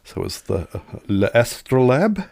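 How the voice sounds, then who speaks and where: A man's voice: medium pitch, very animated, slow pace, vocal fry, darkish tone, dry recording, studio quality. A man chatting casually as a podcast co-host, telling anecdotes in wry, wordy turns of phrase.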